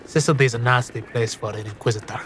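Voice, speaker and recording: An actor playing a cheeky, scheming card cheat in an audio fantasy drama, with a sly, teasing, slangy way of talking. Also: male voice, medium pitch, fairly even intonation, quick pace, a bit bright, lightly acted.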